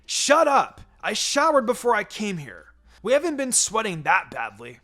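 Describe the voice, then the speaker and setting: Brash, excitable teenage ninja boy for a fan story, played by a man; high, loud and exclaiming, over music. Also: heavily acted. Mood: excited, indignant. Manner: exclaiming, rapid-fire questions.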